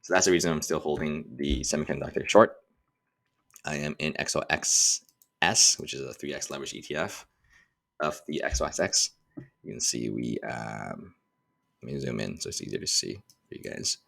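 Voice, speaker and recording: An extremely low-pitched man with a fry-laden, creaky voice gives informal trading commentary. He sounds like he is thinking out loud, stopping and starting as he walks viewers through the screen. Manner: informal, thinking aloud, halting. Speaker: stock trader giving market updates. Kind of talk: casual screen-share market commentary.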